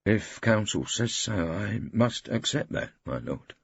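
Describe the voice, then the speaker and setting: A man's voice: on the low side, animated, a little slow, gravelly, breathy edge, dry recording, lo-fi sound, voice pushed up, heavily acted. A man acting a stolid, bored male police inspector in an audiobook, terse, formal and grudging. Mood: reluctant.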